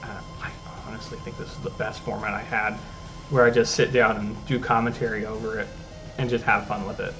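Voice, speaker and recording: A man's voice: fairly low pitch, huge pitch swings, brisk, pushed and bright, faint music behind, rough recording. A man giving casual, rambling commentary over his own gameplay as a gaming channel host. He often restarts his sentences and talks at an easygoing pace. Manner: rambling, self-interrupting, easygoing.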